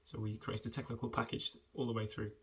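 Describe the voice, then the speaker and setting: A man, talking in a friendly, conversational way with hesitant pauses as he introduces himself and his work for students. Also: mid-range voice, fairly even intonation, fairly bright tone, husky. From rural southwest Wales. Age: 20s. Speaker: junior footwear developer. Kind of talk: informal self-introduction video for students.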